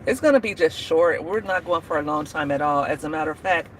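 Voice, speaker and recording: A woman's voice: sits low, brisk, a little hiss or hum. A woman in her forties chatting casually on a livestream, laughing often and talking to viewers by name. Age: 40s. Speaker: livestream host. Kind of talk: casual live chat.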